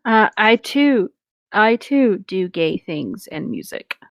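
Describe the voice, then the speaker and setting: A woman's voice: mid-range voice, lively intonation, takes it slowly, no echo. A woman co-hosting a podcast, reading fan fiction aloud and chatting casually. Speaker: podcast co-host.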